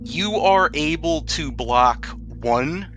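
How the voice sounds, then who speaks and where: A man's voice: a little high, animated, slow pace, background noise. A man running a tabletop role-playing game as game master, describing combat vividly and explaining the rules.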